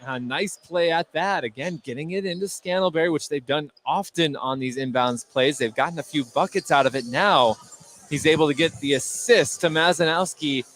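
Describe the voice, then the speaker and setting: A man's voice: a little high, normal speed, a bit bright. A man doing live play-by-play radio commentary of a basketball game, with short clipped calls that rise on big plays. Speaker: play-by-play sports announcer. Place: at a basketball game, gym noise behind. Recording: clearly noisy live game broadcast.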